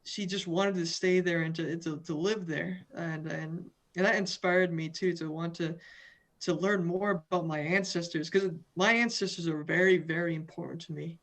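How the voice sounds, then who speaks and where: A man's voice: on the high side, fairly even intonation, moderate pace, a bit bright. A young man from the western United States, a student guest in a video interview, earnest and often repeating and restarting words. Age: young adult.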